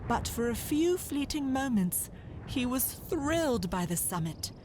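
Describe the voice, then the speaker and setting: A woman's voice: high-pitched, very animated, measured pace, noisy background. A woman giving scripted storybook narration as the narrator of an audio drama. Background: loud music.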